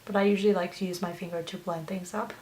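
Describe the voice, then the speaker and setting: A woman's voice: lowish voice, fairly even intonation, fast talker, a little mellow, slight background noise. A young woman whose first language is Chinese, chatting through a makeup tutorial in a gushing, filler-heavy way. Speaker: beauty vlogger. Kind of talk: get-ready-with-me makeup tutorial chat.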